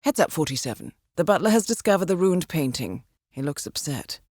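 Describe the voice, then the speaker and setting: An actress playing a poised, dry-witted intelligence handler in a game, with a composed, clipped, wryly understated delivery. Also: female voice, deep voice, very animated, brisk, darkish tone, no echo. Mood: cool, urgent. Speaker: mission handler. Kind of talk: scripted game briefing dialogue.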